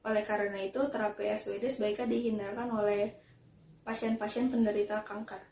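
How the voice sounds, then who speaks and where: A woman's voice: mid-range voice, level tone, fairly quick, gravelly, a little mellow, a little hiss or hum. A young adult woman, a student presenter, explaining point by point in an academic presentation. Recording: roomy, echoing voice recording.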